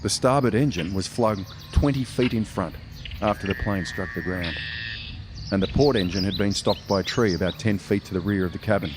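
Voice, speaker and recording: A man's voice: lowish voice, fairly quick, noisy background, natural voice. A man playing a weary military investigator in a community radio play, grave and methodical with some faltering.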